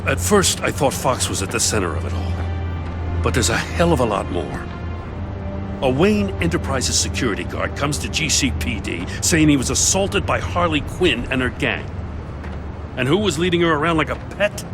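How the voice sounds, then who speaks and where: A man acting a gruff, stubborn middle-aged police commissioner in a video game, blunt and world-weary, with an American accent. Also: medium pitch, big pitch swings, moderate pace. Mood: suspicious, insistent. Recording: loud music behind the voice.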